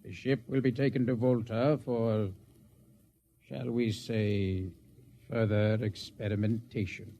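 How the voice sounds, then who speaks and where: An actor in a 1950s radio drama playing an alien agent who poses as a young ship's lieutenant, speaking in a formal, measured way. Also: male voice, fairly low pitch, steady tone, dark tone. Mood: cold, smug. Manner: measured, formal, coolly superior once unmasked. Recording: vintage 1950s radio recording with noise.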